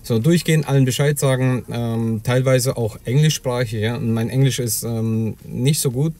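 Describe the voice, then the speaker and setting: A man's voice: mid-range voice, normal speed, mellow. A man who works as a heating installer, telling casually and chattily how he handles a job. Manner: chatty, loose storytelling with filler "ja?" and "ähm". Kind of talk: casual vlog narration.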